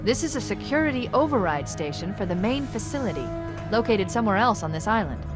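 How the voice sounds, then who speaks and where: A woman's voice: mid-range voice, sing-song, close to an everyday voice. Woman acting as female military AI, composed, analytical, precise, sci-fi game, prominent music behind. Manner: analytical, precise, briskly informative. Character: composed, clever female military artificial intelligence.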